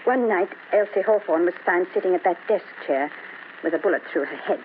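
A woman's voice: fairly low pitch, fairly quick, natural voice. A woman playing a polite, knowing neighbor in an old-time radio drama, composed and reserved, on a tinny recording with some noise. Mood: grave, ominous.

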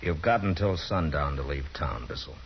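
A calm tough marshal, super deep, short firm lines, old muffled radio with music. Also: male voice, normal speed, slight background noise. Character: stern, steady male frontier lawman. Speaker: narrator and lead. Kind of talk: 1950s radio drama acting. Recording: dull lo-fi 1950s radio broadcast with music.

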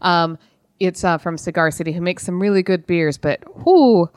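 A woman's voice: lowish voice, normal speed, darkish tone, smooth, no echo, clean studio sound. A woman co-hosting a podcast, talking casually in an informal, rambling way. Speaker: podcast co-host. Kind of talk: casual chat.

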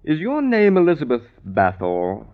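An actor playing a stern, formal police officer of the 18th century in an old radio drama. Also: male voice, mid-range voice, huge pitch swings, normal speed, narrow, tinny audio, slight background noise. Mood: brisk, official. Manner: curt, commanding, formal. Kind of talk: 1950s radio drama acting.

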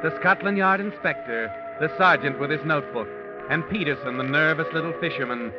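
A man plays a foreboding, mysterious storyteller of the night. His fairly high voice narrates with theatrical suspense over loud music. It has the dulled sound of vintage radio. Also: brisk, highs cut off, natural voice. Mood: grim, suspenseful. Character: mysterious, ominous night-walking man who narrates crime tales. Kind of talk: dramatic 1940s radio narration.

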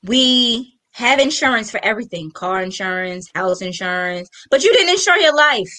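A woman's voice: a little high, lively intonation, moderate pace, sharp tone. A woman of thirty-eight co-hosting a call-in talk show, joking and emphatic in casual chat. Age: 30s. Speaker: radio show co-host.

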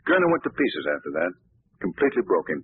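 Suave wry detective guy, gravelly, really swoopy delivery, tinny old radio. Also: low voice, fast, full character voice. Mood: calm. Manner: wry and calmly probing. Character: suave gentleman sleuth, adult man, calm and wry. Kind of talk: 1940s radio acting.

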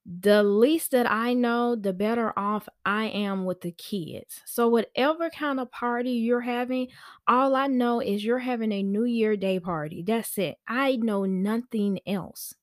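A woman telling a personal story on a podcast in a chatty, animated, slangy way, acting out conversations as she goes. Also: fairly high pitch, unhurried, no room sound, clean studio sound. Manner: informal slangy speech. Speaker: podcast host and nanny.

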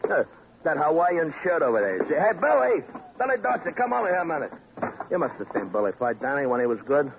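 A man's voice: on the high side, sing-song, a little fast. An actor playing a sentimental, talkative boxing gym man in an old radio crime drama, with wordy streetwise talk. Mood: coaxing. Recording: muffled, noisy vintage radio recording.

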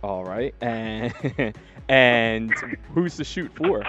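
A man hosting a radio show, interviewing a guest in a relaxed, casual way and laughing often. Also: medium pitch, drawn-out words, muffled recording, music in the background. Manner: laid-back, laughs often. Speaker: radio host.

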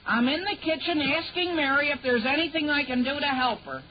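A man's voice: very high voice, animated, fairly quick, muffled recording. An old, noisy radio recording of a nervous teenage boy in a sitcom. His cracking voice swoops all over as he wheedles, scheming yet polite to his elders. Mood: flustered. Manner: flustered, wheedling, exaggerated swoops. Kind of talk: 1940s radio sitcom acting.

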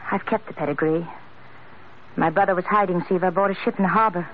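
A woman's voice: fairly low pitch, steady tone, quick pace, lightly acted. Casting: actress as earnest, pleading young woman with a secret, classic radio melodrama, tinny vintage sound with light hiss. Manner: earnest, dramatic, insistent. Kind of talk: old-time radio drama acting. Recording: tinny, noisy old radio recording.

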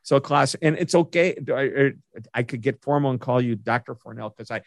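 A man's voice: mid-range voice, fairly quick, vocal fry, no echo. A man hosting an interview show, enthusiastic and upbeat as he gives announcements and introduces his guest. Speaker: podcast host.

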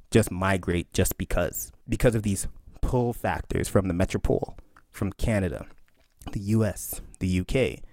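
A man from Barbados speaks in a lowish voice as he answers interview questions, emphatic and animated, slipping in quoted asides. The sound is dry and close to the mic, with no room around it. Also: no room sound. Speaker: historian and author.

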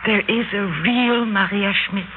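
A woman's voice: medium pitch, fairly even intonation, measured pace, natural voice. A woman acting a proud, suspicious, anguished German wife in an old-time radio drama. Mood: bitter, reproachful. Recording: narrow-band old radio recording, faint noise.

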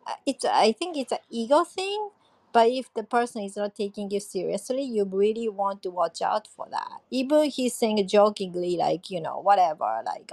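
A woman's voice: mid-range voice, a little mellow, dry recording. A woman sharing advice in a casual chat. She searches for her words and uses fillers along the way. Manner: searching, hesitant phrasing with fillers.

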